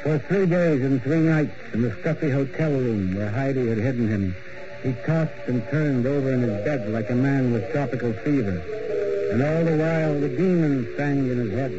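A man's voice: medium pitch, lightly acted. An actor playing a macabre male horror host and narrator, sardonic and fond of grim puns, in a 1940s radio drama. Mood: ominous. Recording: dull old radio recording with music behind.

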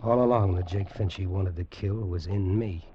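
Guy acting husky low-voiced troubled man in a 1940s radio play, muffled old recording. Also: normal speed, background noise, natural voice. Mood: shaken. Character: stunned, troubled man seeking to settle a debt.

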